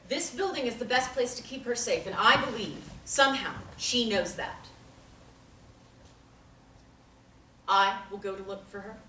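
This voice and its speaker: A bright, forceful actress's voice as a protective female therapist in a stage drama reading. She is calm and firm, choosing formal, measured words. The sound is roomy and distant from the mic. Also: a little high, a little hiss or hum. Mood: resolute, protective. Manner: formal, measured wording with few contractions. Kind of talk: dramatic table reading of a play.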